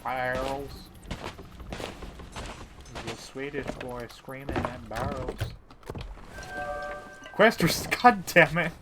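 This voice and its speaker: A man's voice: mid-range voice, very slow, pauses often, over loud music. A man chatting casually as he live streams a game, by turns muttering to himself and teasing his viewers. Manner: playful, teasing banter with chat. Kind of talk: casual gameplay stream chat.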